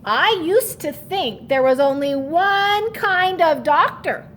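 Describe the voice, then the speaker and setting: A woman's voice: very high-pitched, lively intonation, slow pace, fairly bright tone, a little hiss or hum, pitched above the performer's own voice, full character voice. A woman voicing a curious, eager young child in a children's storybook, with an inquisitive manner. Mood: delighted realization.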